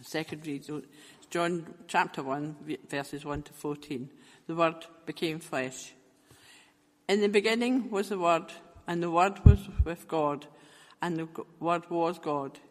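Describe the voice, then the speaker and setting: A man reading scripture aloud in a measured, careful way. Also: on the high side, long reverb tail. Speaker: scripture reader.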